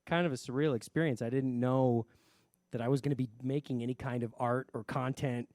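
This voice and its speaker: A man's voice: mid-range voice, steady tone, a little fast, darkish tone, dry recording, clean studio sound. A man hosting a radio variety show, chatting casually and effusively as he interviews a guest on stage.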